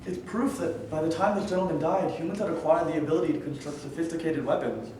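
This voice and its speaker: A man's voice: a little high, fast, a little mellow, slight background noise, close to an everyday voice. Careful young archaeologist, acted by a man, very echoey. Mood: careful, analytical. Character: careful, analytical young male archaeologist leading a team. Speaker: actor. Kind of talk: staged play reading. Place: echoey room at a staged reading. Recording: very echoey room, distant mic.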